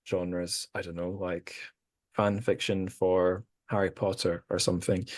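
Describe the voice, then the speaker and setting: A man hosting a podcast, musing in a relaxed group conversation with thoughtful, rambling asides. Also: on the low side, no echo. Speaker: podcast host.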